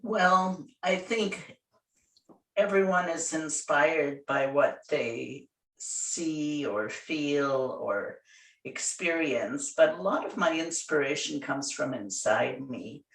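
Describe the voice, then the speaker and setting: A woman from Hungary talks about her art in a slow, considered way. Her voice has a gravelly texture. She sits somewhat away from the microphone, and the room rings around her. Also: sits low, a bit bright. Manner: slow, thoughtful, measured. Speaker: artist guest. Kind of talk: radio interview answers. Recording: roomy, distant from the mic.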